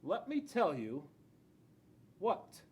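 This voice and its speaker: Animated middle-aged man reading to children. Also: high-pitched. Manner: emphatic, drawn-out key words with asides to young listeners. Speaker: school resource police officer. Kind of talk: children's picture book read-aloud. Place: indoors in a school classroom.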